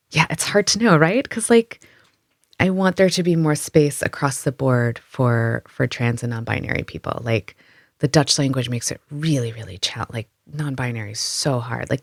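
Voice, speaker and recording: A woman who runs history tours in the city, answering interview questions in an animated way, thinking aloud with many fillers in the local language. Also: very deep voice, no room sound, clean studio sound. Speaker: tour guide and storyteller. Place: Amsterdam.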